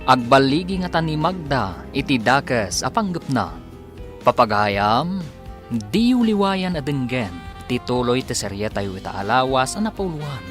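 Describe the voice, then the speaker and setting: A male announcer delivers a dramatic scripted sign-off for a radio serial. His mid-pitched voice is very lively and sits over prominent music. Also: measured pace. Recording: music behind the voice.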